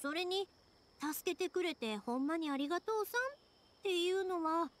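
A woman playing a cheerful, kind-hearted young woman in an anime game, with a Kansai accent and an expressive, informal manner. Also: very high voice, normal speed. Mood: grateful, upbeat. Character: cheerful, kind-hearted young woman, big-sisterly fireworks-maker type.

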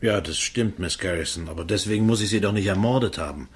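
A man's voice: sits low, fast talker, husky. A man playing an uneasy, shifty murder suspect in a radio crime play, hesitant and evasive. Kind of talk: German radio drama acting.

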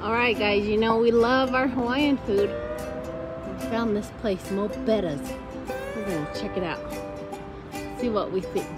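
A woman's voice: medium pitch, unhurried, long pauses, over loud music, poor audio. A middle-aged woman talking casually to viewers in a vlog, plain-spoken and informal, recorded on a handheld camera. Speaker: horse trainer and barrel racer hosting a ranch vlog. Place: changing vlog spots: fields, parking lot, vehicle, tack room. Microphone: handheld camera or phone at arm's length.

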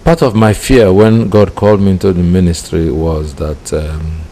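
A middle-aged Nigerian man with a Nigerian accent, a preacher giving personal testimony deliberately, phrase by phrase. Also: sits low, steady tone, dark tone. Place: indoor room with curtains. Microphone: microphone close in front. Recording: clear background noise.

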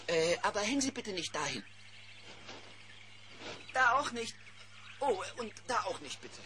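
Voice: mid-range voice, very animated, a little slow, pushed and bright. An eccentric male cartoon bear, with a breezy, theatrical delivery that swoops up and down. He is a laid-back artist who is easily flustered. Faint noise sits on the old cassette recording. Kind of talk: children's cartoon audio drama.

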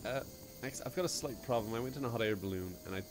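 A man's voice: fast, background noise. A young Irish man streaming a game from home on a headset, joking and laughing a lot as he chats with a friend. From Ireland. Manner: giggly, joking, lively swings in pitch. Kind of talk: casual gaming chat.

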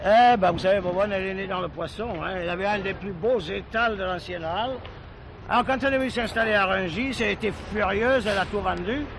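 A proud restaurateur in a French radio play, explaining things with assurance. His voice sits high for a man, with clear outdoor market noise and vehicles behind. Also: fast. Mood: chatty, explanatory. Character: proud, exacting restaurateur showing a young lady around. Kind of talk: French radio drama acting.

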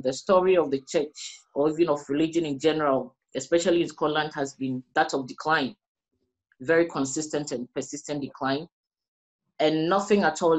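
A middle-aged woman from Ghana with a West African accent, explaining her research as an interviewee in measured phrases with pauses. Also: sits low, darkish tone, low-quality recording. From Ghana, also grew up in the Gambia. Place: small indoor room, plain wall.

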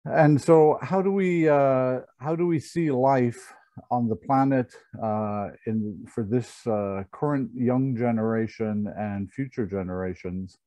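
A man in his sixties giving an informal slide presentation over a video call, speaking in a halting, reflective way. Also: medium pitch, warm tone, no room sound. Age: 60s. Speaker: company chairman, presenter. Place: online video conference. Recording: dull, lo-fi video call audio.

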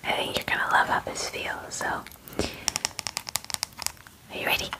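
A woman in her twenties whispering softly and breathily in a gentle, casual, chatty ASMR roleplay, close to the microphone. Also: measured pace, poor audio. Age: 20s. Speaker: ASMR roleplayer playing a werewolf spa groomer. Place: small dim room indoors.

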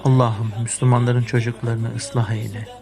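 Man playing a solemn, even-toned cartoon father. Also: medium pitch, normal speed, gravelly, over music, close to an everyday voice. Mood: devout. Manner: solemn, instructive. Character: pious, kindly father teaching his children. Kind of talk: children's cartoon dub.

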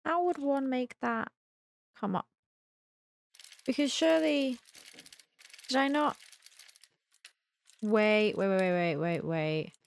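A woman's voice: a little high, lively intonation, slow pace, gravelly, pauses often. A young adult woman giving casual let's-play commentary, thinking aloud in a playful, self-deprecating mutter. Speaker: gaming video host.

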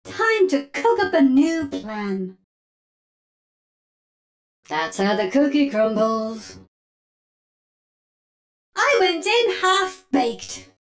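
A woman's voice: high voice, sing-song, very slow, pauses often, full character voice. A woman playing a feisty, sassy robot oven mom who bakes and fights, delivering theatrical punning one-liners for a video game. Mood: dramatic, sassy. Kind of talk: video game character voice lines.

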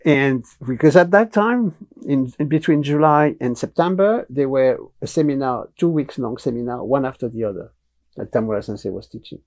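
An older man with a French accent, telling stories in a relaxed interview in a small studio room, blunt and animated, on a lapel mic. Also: mid-range voice, normal speed. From France. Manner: blunt, animated, gestures with hands while talking. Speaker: guest, martial arts teacher. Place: small studio room with wooden cabinets, indoors.

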